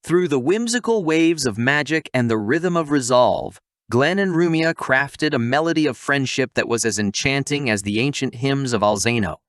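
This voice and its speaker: A man's voice: medium pitch, expressive, moderate pace, few pauses, a bit bright, dry recording, studio quality. A man narrating a scripted story recap in a playful, theatrical way, addressing the audience like a storyteller.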